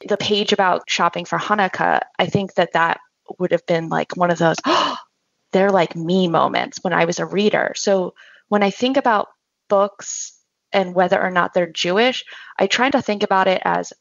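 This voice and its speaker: A woman's voice: lowish voice, moderate pace, creaky, dry recording. A woman on a podcast panel, speaking reflectively and personally. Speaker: panel guest. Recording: dry, slightly dull audio.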